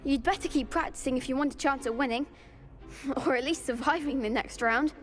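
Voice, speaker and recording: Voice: high-pitched, a little fast, a bit bright, soft background music. A performer playing an eager, encouraging young schoolboy who runs a duelling club in a video game. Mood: excited, admiring. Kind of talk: scripted video game dialogue.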